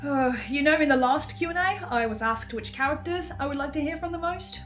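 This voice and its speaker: High-voiced, hesitant young-sounding archivist played by a woman. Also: fairly bright tone, faint music behind. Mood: musing, chatty. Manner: hesitant, halting, stammering. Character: hesitant, hungry marked archivist recording a podcast reaction.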